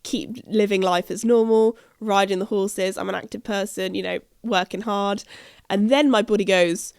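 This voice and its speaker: A British woman hosting a podcast, chatting in a rambling way with a croaky, husky voice from a chest infection. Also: medium pitch, steady tone, normal speed, darkish tone, dry recording, studio quality. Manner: chatty, rambling, self-interrupting. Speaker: podcast host. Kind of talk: casual podcast chat. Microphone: podcast microphone.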